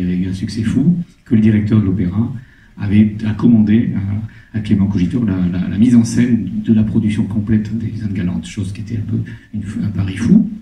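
Man, middle-aged, low dark voice, halting onstage talk, handheld mic, echoey cinema hall from afar. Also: distant mic. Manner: halting, many restarts and fillers. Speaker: film producer at a screening Q&A. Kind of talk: casual onstage talk to an audience. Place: large cinema hall, on stage. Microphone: handheld microphone over the hall PA.

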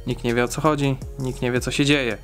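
A man's voice: mid-range voice, little pitch movement, a little fast, fairly bright tone, soft background music. A young man in his twenties explaining a car engine repair step by step in a casual, chatty way, with frequent fillers.

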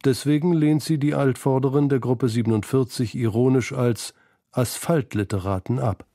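A man's voice: mid-range voice, fairly even intonation, moderate pace, dark tone, no echo, clean studio sound. A man reading scripted narration for a documentary feature.

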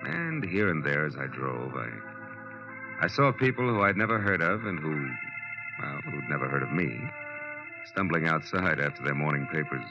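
An American actor playing a world-weary, tough private detective in a 1940s radio noir, clipped, colloquial and wry. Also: male voice, extremely low pitch, big pitch swings, normal speed, full character voice. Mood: weary, reflective. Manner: hard-boiled, clipped, colloquial. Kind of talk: 1940s radio acting, first-person noir narration. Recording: dull old radio recording, music behind.

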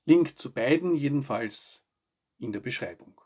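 A man, presenting scripted news commentary to camera in a measured, articulate way. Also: medium pitch, expressive, heavy background noise. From Austria. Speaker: host of a weekly news video show.

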